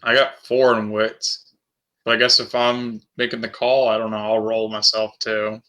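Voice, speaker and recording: A man's voice: lowish voice, little pitch movement, normal speed, pushed and bright. A man chatting casually as a player in a tabletop roleplaying game.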